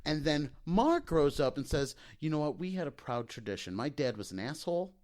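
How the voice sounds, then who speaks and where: A man's voice: a little high, sing-song, fairly quick, a little mellow. A man co-hosting a podcast in casual, joking banter, swearing freely and playfully spinning out hypothetical scenarios. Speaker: podcast co-host.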